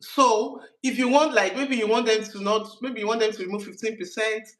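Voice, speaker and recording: A middle-aged woman hosting a casual Q&A, relaxed, laughing easily and explaining at length. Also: on the high side, fairly quick. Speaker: host asking questions. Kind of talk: casual conversational Q&A.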